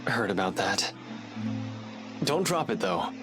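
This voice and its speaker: A man's voice: fairly low pitch, big pitch swings, takes it slowly. An actor playing a serious, guarded male friend in a visual novel, protective and dry in manner. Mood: concerned, dry. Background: music over game dialogue.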